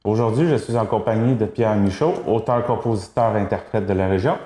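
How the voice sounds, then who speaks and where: A man hosting a local TV interview, asking polite, halting questions. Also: on the low side, mellow. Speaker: TV host. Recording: roomy echo.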